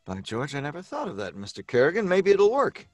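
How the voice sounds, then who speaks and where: An actor playing a nervous, weary, eccentric novelist in an old-time radio mystery, speaking hesitantly with stammers and self-deprecation. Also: male voice, a little high, wildly swooping pitch, fairly quick, dry recording. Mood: doubtful, wary.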